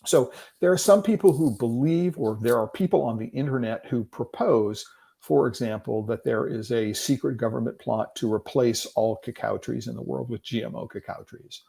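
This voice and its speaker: A man's voice: medium pitch, moderate pace, vocal fry, dark tone. A middle-aged man hosting a livestream, explaining in a loose lecture style with rhetorical questions, frequent "right?" checks and filler sounds. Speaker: livestream host.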